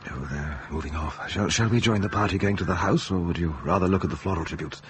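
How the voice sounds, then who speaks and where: An actor playing a mild, conventional British colleague, polite, fussy and a little hesitant, in a radio drama. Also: male voice, low-pitched, quick pace, slight background noise, lightly acted. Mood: puzzled.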